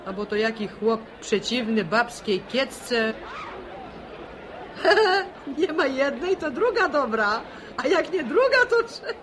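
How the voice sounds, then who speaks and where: Animated, teasing country woman. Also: sits high, takes it slowly, full character voice. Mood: mocking, amused. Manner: rustic, wry, animated. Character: earthy, teasing village mother, wry about men. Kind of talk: Polish radio serial acting. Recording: noisy old radio drama, crowd behind.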